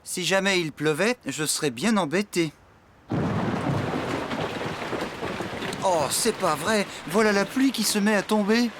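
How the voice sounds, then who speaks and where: A man voicing an eager, excitable and easily worried farm friend in a children's cartoon. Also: high-pitched, normal speed, background noise, pitched above the performer's own voice, natural voice.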